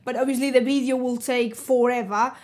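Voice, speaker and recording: Spanish-accented woman in her twenties, high voice, chatty vlogger talking to camera at home. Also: fairly quick. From Spain. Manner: chatty, animated, many fillers and restarts, hand gestures. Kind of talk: casual talk to camera. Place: living room indoors.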